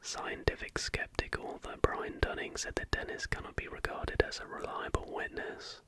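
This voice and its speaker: A man reading a factual script as ASMR in a soft, breathy, deliberate whisper, close to the microphone. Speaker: ASMR host. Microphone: close recording microphone.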